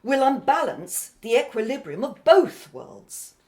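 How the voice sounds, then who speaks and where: Woman acting a bossy woman with wildly swinging pitch. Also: sing-song, unhurried, darkish tone. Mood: urgent, commanding. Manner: imperious, scolding, flustered when questioned. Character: bossy, scornful woman Amina, desperate to be assimilated. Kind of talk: audio drama acting.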